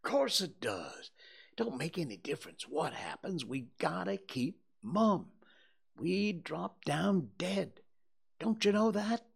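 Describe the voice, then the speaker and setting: A man as an excitable, high-pitched storybook boy. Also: slow, vocal fry, husky, full character voice. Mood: solemn. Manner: rustic dialect, emphatic, dramatic.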